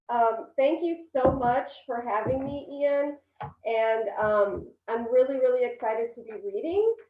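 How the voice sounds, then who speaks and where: Woman poet, high voice, slow talker, distant mic, echoey room, thin phone-like sound. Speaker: poet, guest reader. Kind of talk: guest poet greeting the audience. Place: home. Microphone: video call, far from the mic. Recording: tinny, roomy video call from far off.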